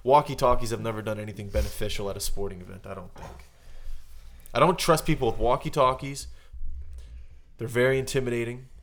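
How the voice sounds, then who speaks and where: A man's voice: medium pitch, a little fast, long pauses, a bit bright. A man co-hosting a podcast in casual comedic banter, riffing on his opinions in a mock-earnest way. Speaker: podcast co-host.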